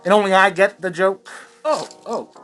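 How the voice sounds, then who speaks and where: A man's voice: high-pitched, normal speed, fairly bright tone, faint music behind. A man hosting a satirical commentary show, ranting in a sarcastic, rambling way, swearing freely and amusing himself. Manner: sarcastic, rambling, self-amused, swears a lot. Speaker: host of a satirical commentary channel. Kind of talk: profane satirical rant.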